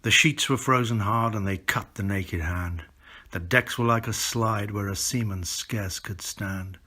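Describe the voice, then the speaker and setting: An older British man, an author, speaking and reading poetry aloud in an expressive, recited style. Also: fairly low pitch, unhurried.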